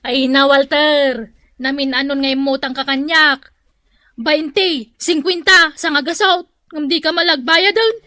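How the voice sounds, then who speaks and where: A woman's voice: sits high, steady tone, measured pace, pushed and bright, no room sound, studio quality. A woman acting a no-nonsense female co-worker with an Ilocano accent in a radio drama, lecturing in exasperation. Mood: exasperated, scolding. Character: scolding, no-nonsense female co-worker who lends money.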